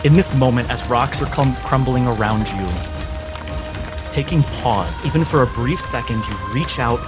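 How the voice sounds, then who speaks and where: Male game master, mid-pitched, dramatic and deliberate storytelling for a tabletop campaign, prominent music bed. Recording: music behind the voice.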